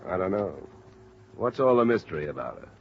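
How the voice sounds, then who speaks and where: A man's voice: low-pitched, big pitch swings, fairly quick, creaky, heavily acted. An American man acting a dry, stubborn insurance investigator with clipped delivery in a 1950s radio drama. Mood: businesslike. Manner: clipped, hard-boiled. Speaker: lead actor and narrator of a detective series. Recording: muffled, noisy old radio broadcast.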